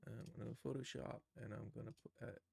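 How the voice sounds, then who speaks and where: Creaky-voiced man talking through a screen tutorial. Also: on the low side, steady tone, a little fast, darkish tone, dry recording. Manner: thinking aloud, muttering short step-by-step remarks. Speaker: game developer streaming a tutorial. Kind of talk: casual screen-share walkthrough, narrating own steps.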